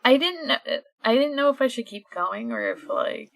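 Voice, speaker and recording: Bright, creaky-voiced woman podcast co-host. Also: high voice, lively intonation, moderate pace. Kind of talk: casual chat.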